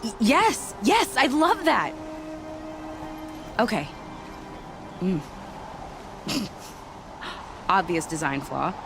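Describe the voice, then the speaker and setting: A woman's voice: mid-range voice, wildly swooping pitch, drawn-out words, long pauses, music in the background, full character voice. A woman acting an eager young weapon tinkerer in a video game, chatty and stumbling over words.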